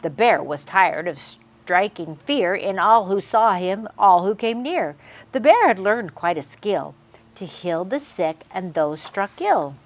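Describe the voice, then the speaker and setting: A woman's voice: medium pitch, animated, takes it slowly. A woman hosting a children's read-aloud, telling a picture-book story expressively to young viewers.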